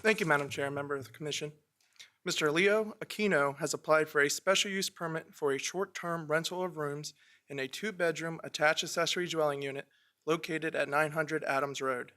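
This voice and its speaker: A man on county planning staff, presenting a formal, measured report to a commission. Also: a little high, pushed and bright. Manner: measured, reads from prepared text.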